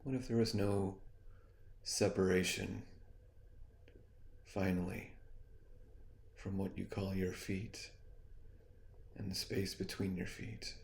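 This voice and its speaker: A man with a deep, creaky voice leads a guided meditation. He speaks very slowly, leaving long pauses between soft suggestions. His manner is soothing and hypnotic, like a teacher easing a listener into rest. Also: flat delivery, slight background noise.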